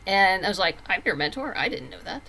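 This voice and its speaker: Female interview guest, bright forceful voice, conversational, slips into quoted asides. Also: medium pitch, animated, a little fast, low-quality recording. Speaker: interview guest, comic book writer.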